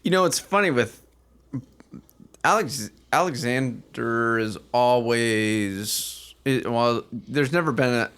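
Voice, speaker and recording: A man's voice: medium pitch, slow, clean tone. A man hosting a podcast in a casual, conversational chat. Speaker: podcast host. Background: loud music behind the voice.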